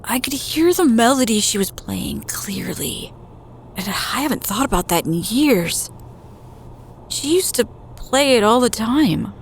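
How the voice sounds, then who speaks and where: An actress playing a troubled, drowsy woman confiding haltingly in naturalistic audio drama. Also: fairly high pitch, animated, a little hiss or hum. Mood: troubled, groggy. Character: troubled, hesitant woman confiding in a close friend.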